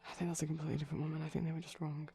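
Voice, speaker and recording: A man speaking softly in a chatty, rambling ASMR style, often dropping to a whisper, digressive and tentative. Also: on the high side, level tone, very fast, creaky, soft background music. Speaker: ASMR performer. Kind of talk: soft-spoken rambling ASMR commentary on a film. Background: TV film audio playing in background.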